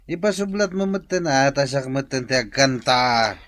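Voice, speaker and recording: A man's voice: mid-range voice, animated, normal speed, fairly bright tone, slight background noise, close to an everyday voice. A man playing a pushy, teasing drinking buddy in a comedic radio drama, insistent and repetitive, with an Ilocano accent.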